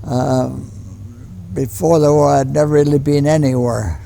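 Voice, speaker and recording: Centenarian male veteran, western Canadian, deep fry and gravel in a mellow voice, folksy, halting reminiscence. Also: steady tone, a little hiss or hum. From Calgary, western Canada. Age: 100. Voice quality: gravelly, creaky. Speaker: war veteran interviewee. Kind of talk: personal reminiscence in an interview.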